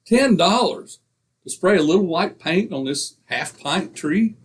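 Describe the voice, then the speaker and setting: A man's voice: high voice, animated, a little slow, breathy edge, exaggerated voice. A man acting a stubborn, penny-pinching husband in old-time radio comedy, indignant and folksy in manner. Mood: indignant haggling.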